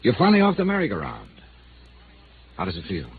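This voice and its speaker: Hard-boiled male investigator, sardonic and world-weary, very animated swings in pitch, vintage phone-like radio sound. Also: quick pace, vocal fry, husky, heavily acted. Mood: softened, wry. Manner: wry, clipped, sardonic delivery. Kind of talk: 1950s radio noir acting and narration. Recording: old narrow-band radio recording with music.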